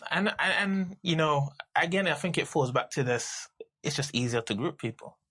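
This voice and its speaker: A man in his thirties from the UK, a podcast guest talking casually and haltingly, with many restarts and fillers. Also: a little high, expressive, fairly bright tone, husky. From United Kingdom. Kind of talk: casual conversational interview.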